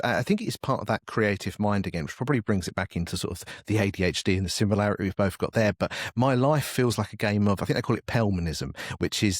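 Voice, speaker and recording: Rambling man with a lowish voice. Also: no echo, clean studio sound. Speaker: podcast guest, podcast network manager. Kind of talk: casual interview conversation.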